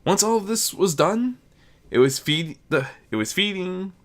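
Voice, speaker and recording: A storyteller reading fiction aloud in an animated, swooping way, with occasional stumbles over the words. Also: mid-range voice, a little slow. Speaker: narrator. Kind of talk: scripted narration, fan fiction reading.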